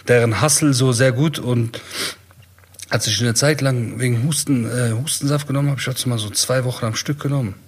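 Husky-voiced man in casual street-slang chat. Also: mid-range voice, steady tone, fairly quick. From Iran. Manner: streetwise slang, calls partner brother. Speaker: podcast co-host.

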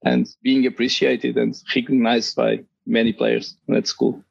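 A man's voice: medium pitch, flat delivery, unhurried, vocal fry, no echo, dull audio. A man with a dry throat, a board game designer chatting casually as a podcast guest, hesitant and often restarting his sentences.

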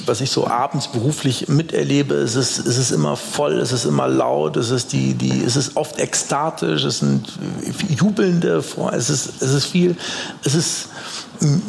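Very gravelly man, halting, in echoing room. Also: medium pitch, a little mellow. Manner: halting, repeats phrase openings, reflective. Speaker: panel guest. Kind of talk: casual live panel talk. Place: open design office event space. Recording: noisy, reverberant live event room.

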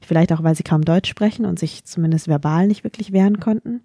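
A woman's voice: fairly low pitch, a little fast, dark tone, husky, dry recording, clean studio sound. A woman who grew up speaking Vietnamese, talking thoughtfully and candidly about her own feelings in a podcast conversation. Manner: thoughtful, candid, self-ironic. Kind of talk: reflective personal conversation.

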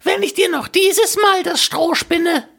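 A man voicing a small, sly, bargaining little gnome in a slow, squeaky, creaky falsetto for a fairy tale. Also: fairly bright tone, heavily acted. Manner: slow, exaggerated, swooping.

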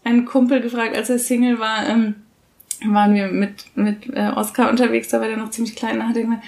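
A woman's voice: fairly high pitch, flat delivery, fairly quick, creaky, darkish tone. A woman in her thirties chatting casually and colloquially as a podcast co-host. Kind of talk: casual conversation about parenting.

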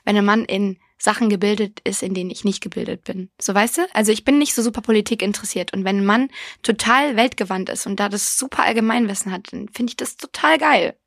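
A woman's voice: mid-range voice, fast talker, vocal fry, no echo, studio quality. A young woman co-hosting a podcast, chatting casually, blunt and swearing freely as she acts out quotes. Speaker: podcast co-host. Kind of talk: casual chat.

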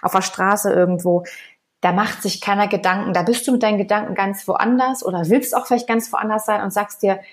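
A woman co-hosting a podcast, talking in an animated, storytelling way with many fillers. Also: mid-range voice, fairly quick. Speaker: podcast co-host. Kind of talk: casual chat.

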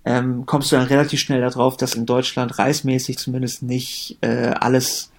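Man, late 30s, from Germany; relaxed, rambling podcast guest. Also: mid-range voice, moderate pace. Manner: relaxed, chatty, many fillers and restarts. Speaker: company co-founder and managing director. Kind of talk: casual podcast interview.

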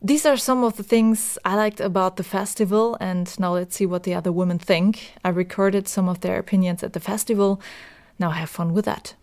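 A woman narrating her own vlog in a friendly, relaxed voice. She talks casually and directly to her viewers. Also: mid-range voice, normal speed. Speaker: vlogger.

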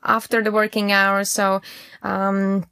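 Interview guest: young female founder, Latvian accent, gravelly fry in the voice, candid and conversational, dry recording. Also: medium pitch, monotone, slow, bright, forceful tone, no room sound.

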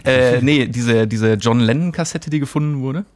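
A man's voice: medium pitch, normal speed. A German-speaking man co-hosting a podcast, chatting loosely and joking with his friend. He keeps tacking a questioning "ne" onto his sentences. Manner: chatty, joking, often ends with "ne?". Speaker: podcast co-host. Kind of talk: casual chat.

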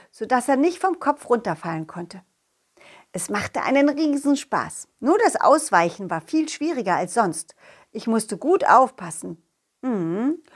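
Woman as a cheerful male dog, children's audio play, unhurried pace, lively intonation, friendly storyteller. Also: a little high, voice pushed down. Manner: simple, child-directed, addresses listeners directly. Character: Kollin, confident, loyal, cheerful male talking dog. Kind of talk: children's audio play, first-person narration.

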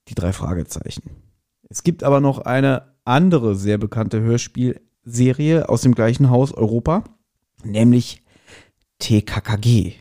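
A man's voice: medium pitch, warm tone. A man hosting a podcast in a casual, chatty and self-ironic manner. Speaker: podcast host.

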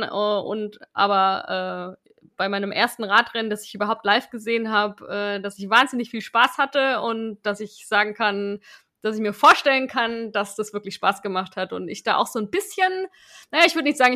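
Woman podcast host, fairly high and bright, chatty and meandering. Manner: asks guests questions in a chatty, rambling way. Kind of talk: casual podcast chat.

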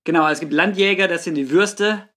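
Higher-voiced man in his late thirties. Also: a little fast, a bit bright. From Swabia, southern Germany. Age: late 30s. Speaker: podcast guest, youth church worker. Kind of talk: casual chat.

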